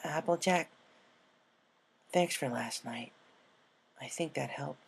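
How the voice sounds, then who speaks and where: Man voicing a frank, low-key pegasus mare. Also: slow pace, gravelly, slightly rough, heavily acted. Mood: subdued. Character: frank, injured adult female pegasus pony, big-sisterly.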